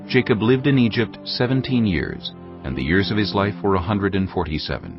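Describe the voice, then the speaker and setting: Deep creaky guy narrating the bible, lively intonation, soft music behind, bit muffled. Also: a little fast. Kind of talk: dramatized scripture narration. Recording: dull lo-fi audio. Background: faint music.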